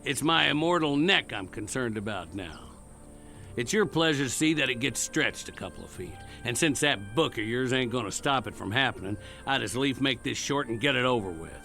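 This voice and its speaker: A man's voice: medium pitch, animated, moderate pace, vocal fry, bright, forceful tone, soft background music. A man acting a defiant, remorseless condemned cowboy in a Western audio drama, with a sardonic, cocky, folksy way of talking. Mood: defiant, mocking.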